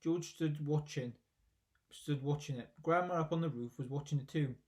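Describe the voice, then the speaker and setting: A man's voice: on the high side, normal speed, darkish tone. A man narrating a children's story expressively, as a reader reading aloud from a book.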